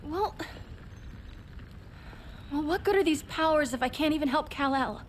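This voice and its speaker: Young idealistic alien heroine, game drama; high-pitched, headstrong. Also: female voice, lively intonation, measured pace, slight background noise. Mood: defiant. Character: young alien superheroine, defiant, restless, idealistic. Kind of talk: video game cutscene acting.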